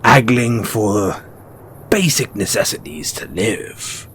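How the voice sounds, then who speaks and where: A sly, cynical merchant of the wasteland acted by a man talks in a lowish voice, taking his time over every phrase. He addresses one listener with knowing, colloquial ease in a post-apocalyptic audio roleplay, over some background ambience. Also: sing-song, fairly bright tone, a little hiss or hum, exaggerated voice. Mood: reflective, frank. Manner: unhurried, colloquial, knowing, with pointed pauses.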